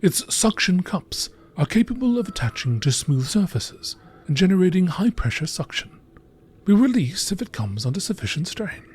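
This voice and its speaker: High-pitched husky man narrating like a nature documentary. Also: sing-song, moderate pace, a little mellow. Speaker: narrator reading game text.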